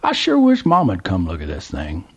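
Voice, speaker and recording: A gleeful old Southern gentleman, put on by a storytelling man, whose drawl leaps high and swoops wildly. The voice is husky and a bit muffled, like a lo-fi recording. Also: huge pitch swings, moderate pace, exaggerated voice. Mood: excited. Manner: drawling, exclaiming, high and squeaky. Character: excited old Southern man, toothless, chatty.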